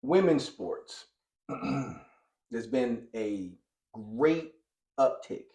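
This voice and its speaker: Slow, animated male podcast host. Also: medium pitch, roomy sound. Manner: enthusiastic, repeats affirmations. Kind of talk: casual podcast interviewing.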